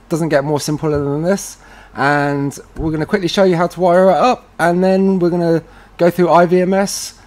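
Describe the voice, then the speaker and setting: A man presenting a casual step-by-step tutorial with a slightly husky voice, informally talking through each step as he does it. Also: on the high side, darkish tone.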